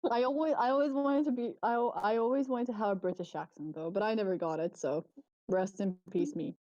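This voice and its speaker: A woman's voice: mid-range voice, fairly quick, a little mellow, no echo. Giggly woman, dull stream audio. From Croatia. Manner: giggly, laughs often, self-mocking. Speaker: livestream co-host. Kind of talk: casual livestream chat.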